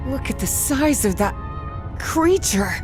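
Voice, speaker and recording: A woman's voice: sits high, animated, takes it slowly. An actress playing a determined, plucky young woman adventurer in a cinematic game. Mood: awed. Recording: music under the voice.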